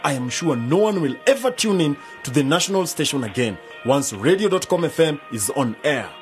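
A man's voice: fairly high pitch, very animated, fairly quick, soft background music. An actor playing an opinionated, sceptical young man in an educational radio drama, blunt and argumentative. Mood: scornful.

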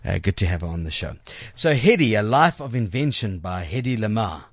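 A man's voice: lowish voice, lively intonation, a little fast, dry recording, clean studio sound. A South African man hosting a chatty radio interview in an effusive way. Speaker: radio host.

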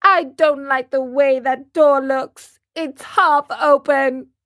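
A woman's voice: sits high, fairly even intonation, takes it slowly, fairly bright tone, voice pushed up. A woman voicing a stubborn, melodramatic boy marionette in a storybook reading, petulant and given to wailing exclamations. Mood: whiny, stubborn.

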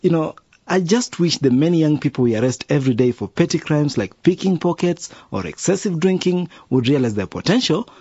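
Fond middle-aged radio-play dad, husky, swooping intonation, dry muffled sound. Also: male voice, fairly high pitch, lively intonation, fairly quick, hardly any pauses, a little mellow, no echo. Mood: tender. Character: affectionate, chuckling father and police officer. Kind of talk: radio drama acting.

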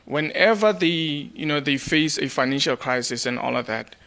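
A man's voice: medium pitch, animated, moderate pace, vocal fry, pushed and bright. A man with a South African accent asking an informal question from the audience, searching for his words.

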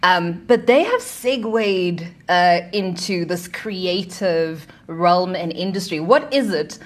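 A woman hosting an interview, asking curious questions with drawn-out fillers. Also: fairly low pitch, animated. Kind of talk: podcast interview.

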